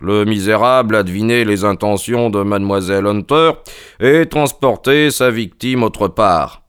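A man voicing a sharp, confident detective in a brisk, authoritative, emphatic way. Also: fairly low pitch, smooth, no room sound, studio quality, lightly acted. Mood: keen, urgent. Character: sharp, commanding male detective, confident and urgent.

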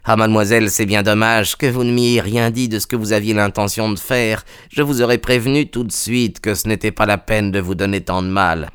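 A man's voice: fairly low pitch, fairly even intonation, brisk, hardly any pauses, fairly bright tone, clear, resonant tone, dry recording, lightly acted. Guy doing a plain-spoken servant woman, respectful, frank, clean dry audiobook sound. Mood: frank, obliging. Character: tall thin female servant, frank and deferential.

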